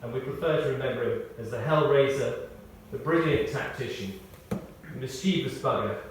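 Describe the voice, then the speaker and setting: A man's voice: medium pitch, normal speed. British man giving a tribute, very echoey. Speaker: eulogist reading a tribute. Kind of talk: scripted memorial tribute with humorous anecdotes. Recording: very echoey, distant mic, faint noise.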